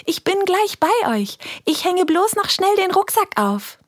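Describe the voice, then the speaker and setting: A woman voicing a lively little kindergarten girl who talks in a bright, eager way. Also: very high-pitched, a little slow, no room sound, clean studio sound, pitched above the performer's own voice, exaggerated voice. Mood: hurried.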